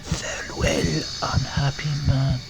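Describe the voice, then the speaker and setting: A man reader plays a proud, heartbroken wife in a gothic storybook. The delivery is slow, hushed and full of reproach, with some gravel in it. The recording is audibly noisy. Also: medium pitch, flat delivery. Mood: whispered farewell. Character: devoted, anguished wife, proud and reproachful woman.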